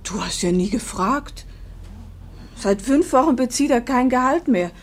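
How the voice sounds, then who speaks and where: A woman's voice: sits high, animated, a little slow. Weary, protective older mother. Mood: bitter, impassioned. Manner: earnest, emphatic, pleading. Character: weary, protective older mother defending her exhausted husband. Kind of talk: 1950s German radio drama acting.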